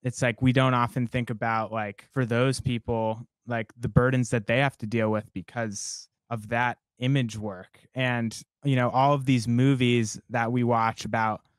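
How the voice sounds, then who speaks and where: A young man, a songwriter guest, talking in a relaxed and reflective way, rambling with many fillers. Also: medium pitch, a little slow, hardly any pauses, no room sound. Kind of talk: casual interview conversation.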